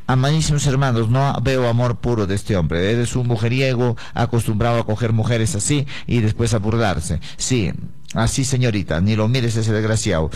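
A man's voice: medium pitch, darkish tone. Man, emphatic, repetitive, preacher-like, live broadcast. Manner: emphatic, repetitive, preachy, addresses listeners as brothers. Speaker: radio psychic answering letters.

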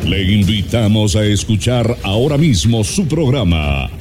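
Low, slow dramatic radio announcer. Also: male voice, animated, over music. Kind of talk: slow scripted promo announcement.

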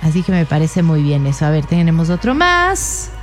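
A woman's voice: low voice, big pitch swings, normal speed, soft background music. A woman with an Ecuadorian accent co-hosting a radio show, chatting playfully and laughing easily. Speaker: radio co-host. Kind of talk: casual on-air chat.

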